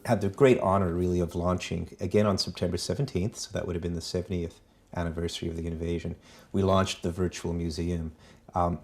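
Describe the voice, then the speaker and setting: A middle-aged man from Canada, a foundation president, recounting his family's history in a measured way with many ums and uhs. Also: low voice, a little mellow.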